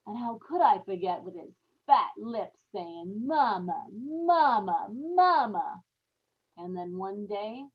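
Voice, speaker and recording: An actress playing a guilt-haunted, murderous young wife in a brooding, confessional old-time radio horror monologue. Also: female voice, fairly high pitch, breathy edge, lo-fi sound, exaggerated voice. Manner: dramatic, brooding confessional with repeated phrases.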